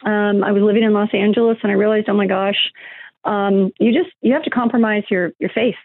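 A woman's voice: mid-range voice, steady tone, a little fast, dry recording. Woman guest on a tinny phone line. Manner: rambling, many fillers and restarts. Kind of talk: conversational interview.

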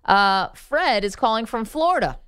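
A woman's voice: fairly high pitch, animated, moderate pace, a bit bright, clear, resonant tone, no echo, clean studio sound. A woman hosting a sports radio show, chatting casually with callers.

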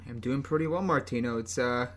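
Young american dude co-hosting a soccer pod, mid-pitch voice, laid back and rambly. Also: male voice, fast talker. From United States. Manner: relaxed, rambling, many ums and restarts. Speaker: podcast co-host. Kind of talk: casual soccer podcast talk.

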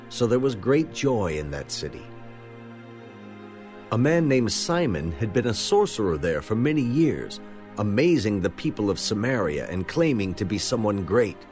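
Deep, lively male drama narrator. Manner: expressive, swelling with the action. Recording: narration over music score.

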